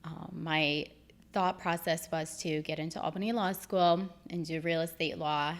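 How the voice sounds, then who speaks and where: A woman's voice: deep voice, moderate pace. A woman in her thirties, born in Egypt, a real estate broker talking casually as a podcast guest, with plenty of "um"s as she recounts her path. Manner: relaxed storytelling with frequent "um". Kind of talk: casual interview.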